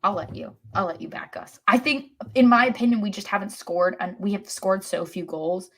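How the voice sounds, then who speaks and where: A woman's voice: medium pitch, moderate pace, husky. A woman co-hosting a sports podcast, chatting casually with dry, sarcastic humor. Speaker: podcast co-host.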